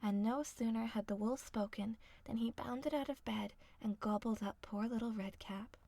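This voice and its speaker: Hushed woman reading an ASMR story. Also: fairly high pitch, fairly even intonation, moderate pace, creaky, dry recording. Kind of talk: soft ASMR fairy-tale reading.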